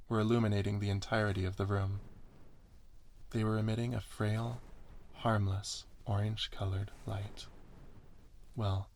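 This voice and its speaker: A man's voice: lowish voice, creaky, a little hiss or hum. A man reading scripted first-person story narration in an ASMR style.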